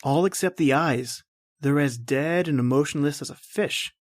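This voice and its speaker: A man's voice: a little high, moderate pace, dry recording, clean studio sound, natural voice. A man playing a matter-of-fact house guest in an old-time radio drama. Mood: wary.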